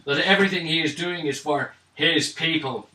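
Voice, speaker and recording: A man voicing a weary, earnest elf prisoner in a tabletop role-play, solemn and measured, in a roomy recording. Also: a little high, bright, forceful tone. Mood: grave, weary. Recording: roomy, distant mic, faint noise.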